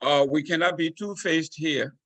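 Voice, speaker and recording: A man with a fairly high voice speaks as a talk show participant. His talk is casual and opinionated, and the sound is dry. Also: moderate pace, fairly bright tone, no room sound.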